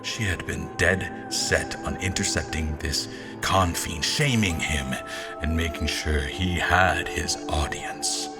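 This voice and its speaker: Guarded royal officer played by male actor, very low voice, formal literary storyteller for audio drama, music underneath. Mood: reflective, intrigued. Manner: formal, literary, deliberate phrasing. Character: guarded male royal officer in disguise, telling his memories. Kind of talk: scripted first-person audio drama narration. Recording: music behind the voice.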